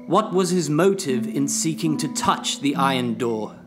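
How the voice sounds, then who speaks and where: A man's voice: fairly high pitch, expressive, a little slow. Man acting an imperious, uneasy ancient king. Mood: imperious, suspicious. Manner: formal, commanding. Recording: loud music over the voice.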